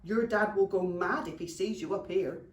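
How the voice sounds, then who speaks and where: A British woman voicing cowardly, excitable young male goblins in a children's storybook, exclaiming dramatically in colloquial speech. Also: mid-range voice, moderate pace, close to an everyday voice. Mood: alarmed. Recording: roomy echo, distant microphone.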